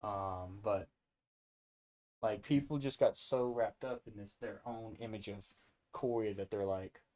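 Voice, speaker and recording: A man's voice: fairly low pitch, a little slow, dark tone. A man hosting a casual reaction video, rambling and interrupting himself as he goes off on tangents.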